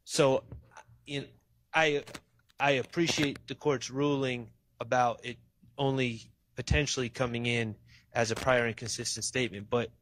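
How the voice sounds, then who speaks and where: A man speaking as a defense attorney to a judge in court, measured and hesitant with frequent restarts. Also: mid-range voice, fairly even intonation, a bit bright, poor audio.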